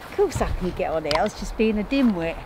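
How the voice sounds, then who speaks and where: A woman's voice: mid-range voice, expressive, fast, noisy background. A woman in her thirties chatting playfully in a casual travel vlog, filmed in a campervan and outdoors on a camera mic. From England. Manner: chatty and playful, often laughing. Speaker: vlogger. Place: in a campervan and out in woods and dunes. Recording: music playing underneath.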